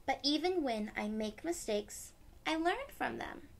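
A young woman reads a picture book to small children at an unhurried pace, with gentle, simple explanations. Her voice sits fairly high and has a creaky edge. She is recorded in a room at home. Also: animated. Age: young adult. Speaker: read-aloud storyteller for children.

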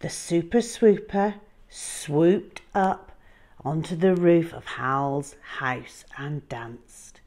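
A woman storyteller reading a picture book expressively, with a dramatic, drawn-out delivery for young children. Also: deep voice, darkish tone.

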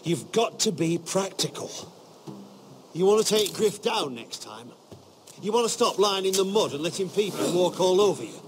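A man playing a gruff knight in a video game, giving blunt instruction like a combat trainer, with music behind. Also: high-pitched, lively intonation, moderate pace, noisy background. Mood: scornful, challenging. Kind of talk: dramatic video game voice acting. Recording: game dialogue over music.

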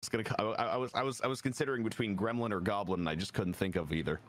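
A man's voice: on the low side, rapid-fire. A young man on a stream call, chatting casually with dry, mock-offended reactions. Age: young adult. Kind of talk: casual chat.

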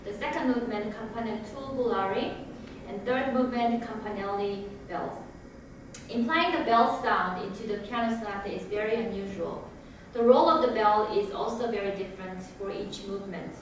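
Woman lecturing in an echoey hall. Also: mid-range voice. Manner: measured, reading from notes with occasional hesitations. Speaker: lecturer giving a lecture recital. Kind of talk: read lecture presentation. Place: recital hall with grand piano and projection screen. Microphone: far room camera. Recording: echoey hall from far off, audible room noise.

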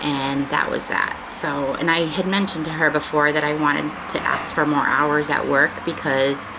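A woman's voice: deep voice, steady tone, normal speed. An American woman in her forties chatting casually to her viewers in a rambling, informal, conversational way. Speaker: vlogger. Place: small living room at home, indoors. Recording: noticeable background room noise.

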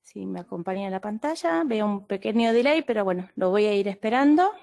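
A woman's voice: medium pitch, expressive, brisk, creaky, darkish tone. A woman with an Argentine Spanish accent, hosting and presenting an online training webinar in a friendly, explanatory way. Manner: friendly, explanatory, with occasional fillers. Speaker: webinar host and clinical associate.

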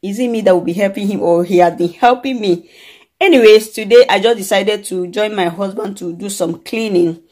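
A woman in her thirties talks straight into a phone held close, casual and chatty. She rambles, circles back and repeats herself. Her voice sits at an ordinary middle pitch. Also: normal speed, mellow. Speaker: vlogger. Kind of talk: casual chat to camera. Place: dim indoor room at home. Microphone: phone camera held close.

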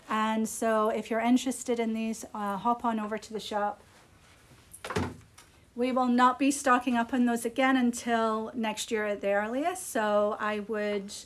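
A middle-aged woman chats casually and unscripted as she presents products on a live shop stream. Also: a little high. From Scotland. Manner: chatty, unscripted, appreciative. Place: small indoor room. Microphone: phone at arm's length.

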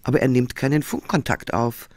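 A man's voice: mid-range voice, steady tone, fairly quick, darkish tone, a little hiss or hum, lightly acted. An actor playing a sober male police colleague in a radio crime drama, reporting in a factual, matter-of-fact way.

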